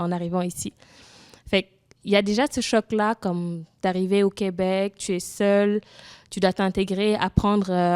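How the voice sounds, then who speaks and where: A young woman from Senegal, a Wolof speaker, tells her own story as an interview guest. Her voice sits at an ordinary mid pitch in a dry, close sound. She is earnest and keeps restarting, leaning on "comme" as she goes. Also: darkish tone, clear, resonant tone, dry recording. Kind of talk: personal testimony in an interview.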